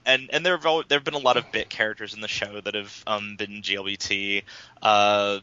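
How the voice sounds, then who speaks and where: A man's voice: on the low side, fairly even intonation, fairly quick, bright, forceful tone, smooth. A man in a casual podcast discussion, explaining things at length with frequent restarts. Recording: dull lo-fi recording.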